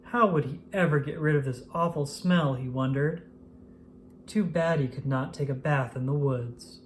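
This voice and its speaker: A narrator reading a children's picture book aloud in an expressive, scripted storytelling style. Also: medium pitch, normal speed, a little hiss or hum. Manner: expressive, acts out exclamations and dialogue.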